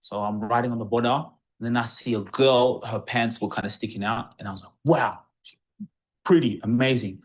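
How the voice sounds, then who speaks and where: A young Australian man in a casual vlog, telling a story with lively, repeated emphasis as a property coach. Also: medium pitch, moderate pace. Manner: animated, repeats words for emphasis.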